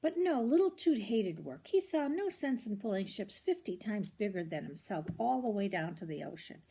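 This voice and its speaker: An older woman reads a children's storybook aloud in an expressive, grandmotherly way, with short asides to the listener. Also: mid-range voice, husky. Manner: expressive, grandmotherly, commenting on the pictures.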